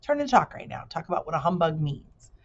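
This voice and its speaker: Low-voiced woman teacher reading aloud, dull recording. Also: big pitch swings, a little fast, gravelly. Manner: explains words simply, casual, addresses listeners directly. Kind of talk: storybook read-aloud with conversational asides.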